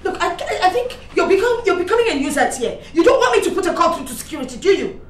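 A woman's voice: mid-range voice, expressive, moderate pace, warm tone, natural voice. An actress playing a haughty older mother who heads the family company, dismissive and scornful toward her son. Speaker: mother of the husband. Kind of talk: film drama acting.

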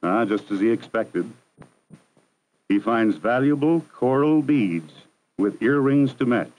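A man narrating a 1960s educational film in a measured, formal, instructive manner. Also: mid-range voice, animated, darkish tone, low-quality recording. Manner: measured, formal, didactic delivery. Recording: 1960s archival film soundtrack.

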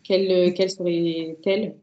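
A young woman asking formal interview questions as a student on a video call, in a hesitant, halting way. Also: lowish voice, level tone, dark tone. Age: young adult. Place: indoor room, video call. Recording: roomy, dull video-call audio.

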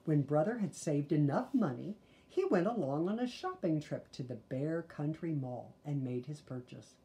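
A woman reads a children's storybook aloud, deliberate and expressive, with chatty asides. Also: low voice. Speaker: storybook reader. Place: small living room, indoors.

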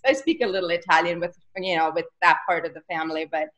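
A woman's voice: lowish voice, lively intonation, quick pace, fairly bright tone. A woman in her forties from Germany with a German accent, a guest chatting casually and laughing easily over a video call. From Germany, near Salzburg. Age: 40s. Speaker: guest, costume designer. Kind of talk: casual interview chat. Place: home room, video call. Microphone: webcam on video call.

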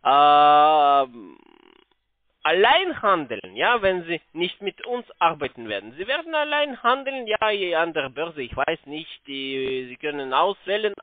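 A man with a foreign accent, not a native speaker, making a halting phone sales pitch with frequent tag questions. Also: dry recording. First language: not German. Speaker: telephone sales caller pitching investments. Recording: narrow tinny phone line.

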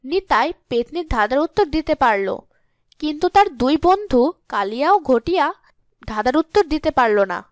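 Woman narrator, high voice, scripted fairy-tale storytelling, dry studio-like sound. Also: big pitch swings, normal speed, dry recording.

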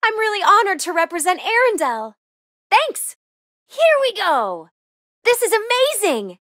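A woman with an American accent voicing a bubbly, eager, excitable young princess racer in a video game, gushing and slightly flustered. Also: extremely high pitch, measured pace, no echo, clean studio sound, exaggerated voice. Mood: excited, cheerful. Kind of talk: animated video game character voice lines.

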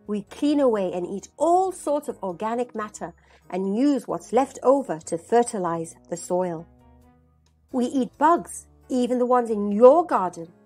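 A woman voicing a proud, patient flying ant in a storybook, explaining in a measured way. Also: on the high side, slightly rough.

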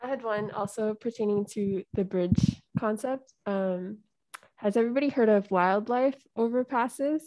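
A woman's voice: medium pitch, a little slow, a little mellow, no room sound, poor audio. A young woman speaking in an online class discussion, explaining steadily with some fillers. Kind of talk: casual discussion. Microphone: video call.

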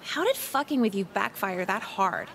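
An actress voicing a jaded, manipulative teenage schoolgirl in a visual novel with deadpan sarcasm. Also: medium pitch, moderate pace, vocal fry. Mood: irritated.

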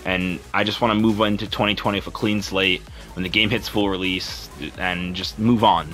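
A young man with a low, deep voice and a bright, forward edge to his tone. He talks in a rambling, earnest, apologetic way over gameplay, like a creator speaking straight to his viewers. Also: little pitch movement, moderate pace, faint music behind. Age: young adult. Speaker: YouTuber and streamer.

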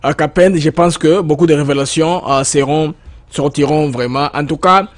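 A man interviewing a guest, pressing him with questions and filling pauses with hesitations. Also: a little high. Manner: pressing, stammers and fills with euh.

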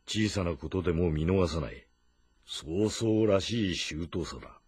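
An actor playing a grave, measured older sworn-brother general in a historical game drama, with deliberate, stern diction. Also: male voice, sits low, darkish tone, husky, no room sound. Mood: measured, sober. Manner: deliberate, stern, martial, old-fashioned diction.

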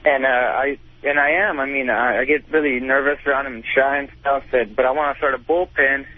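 A man's voice: mid-range voice, fairly even intonation, fairly quick. A twenty-year-old man calling a radio show, sounding hesitant and unsure in casual talk. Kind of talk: casual phone-in chat. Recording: tinny phone line on radio.

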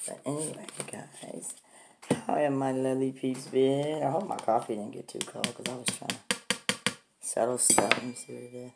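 A middle-aged woman with a hoarse voice from a cold, chatting to her viewers while eating breakfast in her home kitchen. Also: extremely low pitch, flat delivery, moderate pace, heavy background noise. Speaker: food vlogger. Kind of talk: casual eating vlog chat.

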